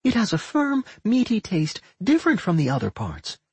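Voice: medium pitch, huge pitch swings, normal speed, husky, dry recording, voice pushed up, heavily acted. A narrator voicing a young warg girl in an audiobook, shy, earnest and bluntly feral, speaking in simple declarations.

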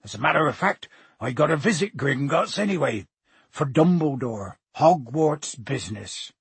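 A big, friendly giant of a gamekeeper, acted out by a male storybook reader, speaks with a very husky, gruff edge. His talk is folksy and colloquial, hearty and proud, and it is recorded close and dry. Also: on the high side, expressive, normal speed, no echo, exaggerated voice. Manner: folksy, colloquial, dropped words.

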